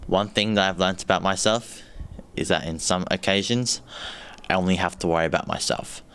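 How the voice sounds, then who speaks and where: A young man in his late teens reads a reflection in a steady, sincere way. His voice is very low and clean. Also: normal speed, smooth. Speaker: student leaving high school. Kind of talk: reading a prepared reflection.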